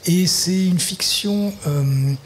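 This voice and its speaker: A man's voice: high-pitched, slow, breathy edge. A man, a literary translator, answering interview questions in a thoughtful, hesitant way, often restarting his sentences. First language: French. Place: festival venue, live broadcast.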